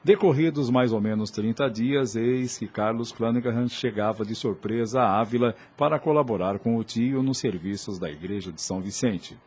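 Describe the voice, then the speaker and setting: A man's voice: mid-range voice, normal speed, hardly any pauses, a little mellow. A man narrating a scripted audio drama in a formal, literary way. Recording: clear background noise.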